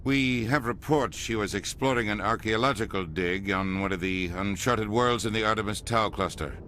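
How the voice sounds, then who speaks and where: An actor playing a curt, self-important ambassador in a video game, brusque and stern in manner. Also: male voice, fairly low pitch, normal speed, bright, forceful tone, slight background noise. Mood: skeptical, brisk. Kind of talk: video game dialogue acting.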